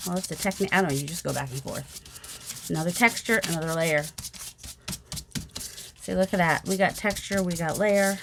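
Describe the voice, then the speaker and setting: A woman's voice: medium pitch, background noise. A woman in her forties with a youthful, childlike voice, chatting casually with rambling, self-teasing asides while she paints at a home craft table. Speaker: mixed media artist. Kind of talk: casual crafting vlog narration. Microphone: overhead camera, hands only.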